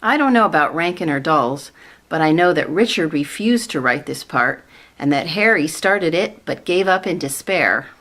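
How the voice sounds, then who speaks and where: A woman's voice: deep voice, lively intonation, normal speed, a bit bright. A woman playing a knowing, skeptical government colleague in a sitcom radio play, dry and insistent. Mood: scolding.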